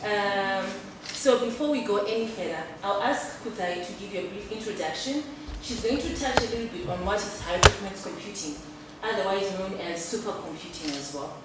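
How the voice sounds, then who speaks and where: A woman serving as acting technical director, giving a courteous, measured, formal welcome to visitors. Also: medium pitch. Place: indoor hall at a computing center. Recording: echoey room, distant mic, background noise.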